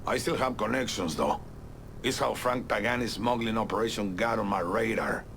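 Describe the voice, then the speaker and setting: An actor playing a bitter, hard-bitten Cuban exile informant in a video game, gravelly and scornful, with a Cuban accent. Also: male voice, lowish voice, moderate pace, fairly bright tone, slight background noise. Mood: bitter, wary. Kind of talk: video game cutscene acting.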